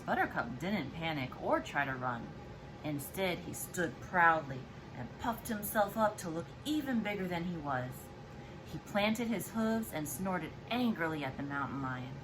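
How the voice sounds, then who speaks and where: A woman's voice: fairly low pitch, noisy background. A woman, a mother, reading a children's storybook aloud expressively to her child. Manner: expressive, acts out dialogue and explains to child.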